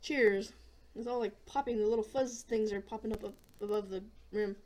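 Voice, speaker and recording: A hoarse woman hosting a casual gaming video, chatting in a rambling way and often clearing her throat. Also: mid-range voice, steady tone, normal speed, a little mellow, rough recording. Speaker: gaming channel host.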